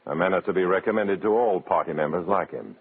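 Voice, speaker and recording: Deep-voiced, wry undercover agent. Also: male voice, breathy edge. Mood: sardonic. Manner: sardonic, hard-boiled, measured. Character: wry undercover agent posing as loyal communist comrade. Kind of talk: old American radio drama narration and acting. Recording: muffled vintage radio recording.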